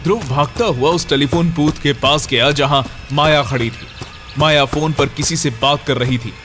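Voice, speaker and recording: A man's voice: a little high, moderate pace, a bit bright. A man narrating a scripted horror audio story in a dramatic storyteller's manner. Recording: music under the voice. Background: background music.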